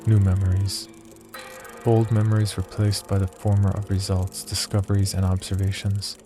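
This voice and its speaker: Low, detached male researcher played by an actor. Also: monotone, moderate pace, lightly acted. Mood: clinical, detached. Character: cold, detached male psychology researcher keeping a sinister diary. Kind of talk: horror audio fiction, first-person diary narration. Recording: loud music bed under voice. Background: loud music.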